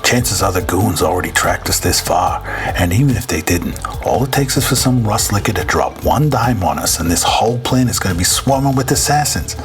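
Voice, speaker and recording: A man playing a gruff, jittery, foul-mouthed crook in a radio drama. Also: on the low side, lively intonation, normal speed, faint music behind, close to an everyday voice. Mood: alarmed, bitter.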